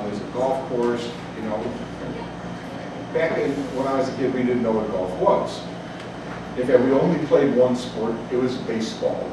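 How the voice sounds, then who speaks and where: A man's voice: medium pitch, noisy background. A man in his sixties from Chicago's south side, telling a story live with folksy, humorous asides. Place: cafe. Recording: echoey cafe, distant mic, chatter. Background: room ambience and chatter.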